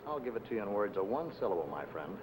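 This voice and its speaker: A man's voice: big pitch swings, fast. A man playing a blunt, condescending investigator in a 1950 film noir, leaning hard on a debtor. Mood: stern, lecturing. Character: hard-nosed, threatening male debt-collection investigator. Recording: noisy old film soundtrack, traffic rumble.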